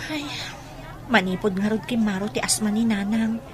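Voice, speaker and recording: A woman playing a dutiful, hardworking young daughter in a radio drama, with a breathy voice and a Filipino accent. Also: mid-range voice, fairly even intonation, normal speed, crowd noise, lightly acted. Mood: worried, chatty.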